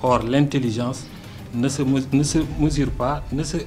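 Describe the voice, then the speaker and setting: A Senegalese man speaks French as his second language, answering questions in a radio studio. His voice sits at an ordinary middle pitch and he keeps stopping to search for words. Faint music runs softly underneath. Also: steady tone, normal speed. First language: Arabic-educated, not a French native speaker. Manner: hesitant, many fillers and restarts, earnest. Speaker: political party delegate, radio guest. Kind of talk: radio political interview.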